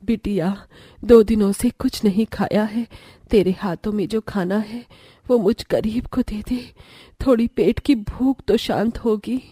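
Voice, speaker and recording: A woman's voice: medium pitch, unhurried, mellow, breathy edge, dry recording, studio quality. A woman playing a humble, hungry old beggar woman who pleads, in a cartoon story. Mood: weak, pleading.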